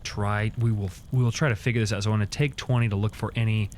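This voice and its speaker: Low-pitched man playing a tabletop game. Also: fairly even intonation, quick pace, a little mellow. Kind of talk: casual in-character game talk. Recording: faint background noise.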